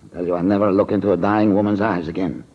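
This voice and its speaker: Husky, low-voiced nervous schemer. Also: male voice, fairly even intonation. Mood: sinister, deliberate. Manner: hesitant, halting, theatrical delivery. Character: nervous scheming male con man who kills rich wives. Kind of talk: 1940s radio drama acting. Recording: muffled old-time radio recording.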